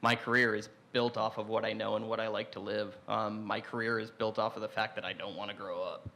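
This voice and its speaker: A young man on a discussion panel, talking in a relaxed, rambling way with many restarts. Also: on the low side, fairly quick.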